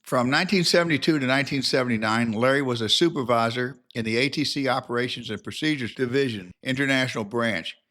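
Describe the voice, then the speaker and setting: A man's voice: medium pitch, fast, hardly any pauses, sharp tone. An older man narrating a scripted biographical documentary in a formal, careful reading manner.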